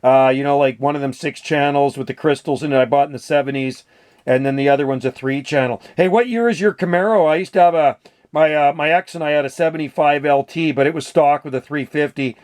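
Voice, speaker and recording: Older man chatting on CB, slightly high voice. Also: steady tone, fast talker, a little mellow. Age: 60s or older. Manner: folksy, uses CB slang. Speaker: radio hobbyist, channel host. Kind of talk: casual CB radio chat.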